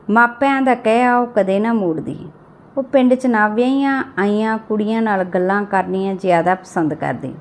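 Lady reading out a sad family audio story, medium pitched voice.

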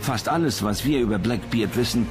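Guy narrating a history documentary, scripted read, music clearly playing underneath. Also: medium pitch, a little fast. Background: music behind the voice.

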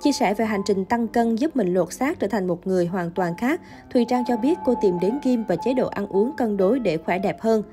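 A woman reading a scripted entertainment news narration in an even, steady delivery. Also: mid-range voice, normal speed, few pauses, music in the background.

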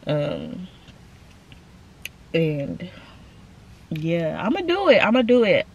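A woman's voice: on the low side, wildly swooping pitch, slow pace, a little mellow, breathy edge, highs cut off. A young woman talks straight to her phone camera from the driver's seat of a parked car. Her speech is loose and slangy and keeps circling back to her audience. It sounds close and unpolished, like a casual car vlog. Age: 20s. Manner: chatty, slangy, addresses viewers as y'all. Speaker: vlogger.

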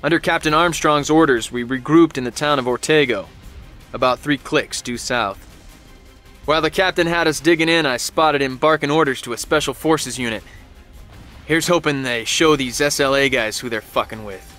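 An actor playing a cynical young US Army private with an American accent, narrating in a wry, profane, slangy way. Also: male voice, mid-range voice, normal speed, sharp tone, natural voice. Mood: tense, urgent. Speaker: soldier narrator. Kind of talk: dramatic first-person game narration. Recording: music behind the voice.

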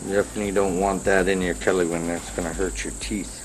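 A middle-aged man hosting a casual outdoor cooking demonstration, giving relaxed, informal instructions as a chef. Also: sits low, quick pace, very noisy. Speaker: chef and cooking show host. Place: outdoor patio at night.

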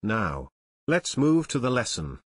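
A man narrating a scripted English lesson, reading slide text slowly and evenly as the channel's host. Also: mid-range voice, sing-song, breathy edge, dry recording, clean studio sound. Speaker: English teacher.

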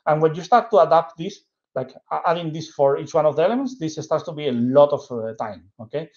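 A man in his forties from southern Spain with a Spanish accent, giving an informal technical presentation in a halting way with frequent checking tags. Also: a little high, expressive, a little mellow. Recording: dull, lo-fi webcam audio.

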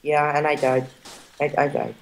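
A man's voice: fairly high pitch, fairly even intonation, normal speed, gravelly, a little hiss or hum, poor audio. A man chatting over voice chat while gaming, trading sarcastic jabs and panicking when his character is in danger. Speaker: gamer and channel editor. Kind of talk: casual gameplay commentary.

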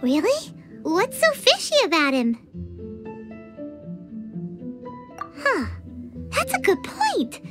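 A woman voicing a tiny, childlike fairy companion in a video game, chirpy, curious and excitable, with a squeaky, expressive delivery. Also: measured pace, music in the background, exaggerated voice. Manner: expressive, refers to self in third person. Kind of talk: animated video game dialogue.

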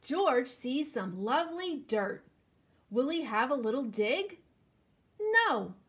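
A woman in her thirties giving an expressive picture-book read-aloud, speaking directly to young children. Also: high-pitched, slow pace, husky. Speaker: children's librarian reading at story time. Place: indoors, living room at home.

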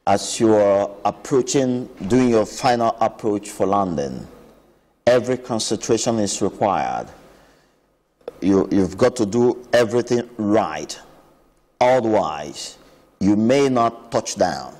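A man's voice: fairly low pitch, expressive, slow pace, darkish tone, in a large hall. A man, an aviation expert explaining earnestly on a TV show, often repeating words. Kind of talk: explanatory TV interview.

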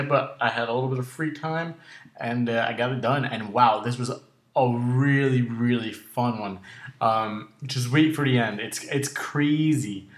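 A man hosts casual video game commentary with a raspy, sore voice that runs a little short of breath. His pitch is average, and the room adds clear echo. He is laid-back and halting as he narrates each move. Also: a bit bright. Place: indoor room at home.

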